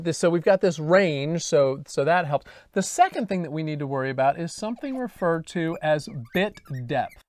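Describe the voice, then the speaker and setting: Male instructor, 50s, slightly high voice, dry close lapel sound, emphatic step-by-step explainer. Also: darkish tone, dry recording. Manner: deliberate, emphatic teaching with rhetorical check-in questions. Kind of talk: explanatory lecture to camera. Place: indoor studio with dark backdrop and lightboard. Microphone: lapel mic.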